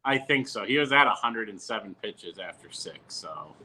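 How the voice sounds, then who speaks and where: A man's voice: on the low side, animated, moderate pace, bright, forceful tone, husky, low-quality recording. A man chatting casually as a podcast guest, bantering and repeating himself with mock boastfulness. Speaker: podcast guest who works at a TV station. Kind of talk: casual, bantering sports chat.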